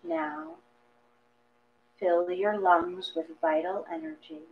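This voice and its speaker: A woman reading a guided meditation from a script in a gentle, measured manner, leaving long pauses between phrases. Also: fairly low pitch, big pitch swings, vocal fry. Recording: dull lo-fi audio with mains hum.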